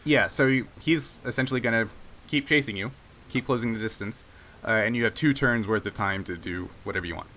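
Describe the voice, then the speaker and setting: A man's voice: on the low side, a little fast, bright, forceful tone, a little hiss or hum. A man acting as game master, casually narrating a tabletop role-playing game and explaining its rules.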